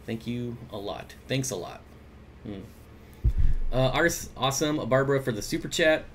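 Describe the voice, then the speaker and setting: A man's voice: medium pitch, lively intonation, moderate pace, fairly bright tone, a little hiss or hum. A man hosting an aquarium livestream, chatting casually and reading viewer comments aloud with jokey asides. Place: home room with aquariums. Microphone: desk mic at computer.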